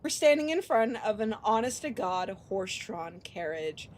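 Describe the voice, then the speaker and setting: A woman streamer reading a visual novel aloud and commenting casually, animated, expressive and free with swearing. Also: mid-range voice, normal speed. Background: game music.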